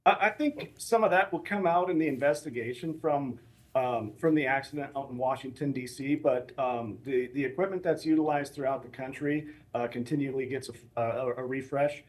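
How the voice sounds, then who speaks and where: An American man speaking as an academic expert in a news interview, explaining things in a measured, hesitant way. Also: medium pitch. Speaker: assistant professor of air traffic management, expert guest. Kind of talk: live news interview answers.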